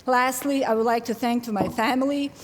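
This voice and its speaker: A woman's voice: a little high, steady tone, brisk, a little hiss or hum. Woman giving a graduation speech. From Bosnia. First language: Serbian. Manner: warm, joking, laughs between thanks. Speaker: graduating student. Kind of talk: commencement speech. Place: large hall at a graduation ceremony. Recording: echoey hall with a crowd.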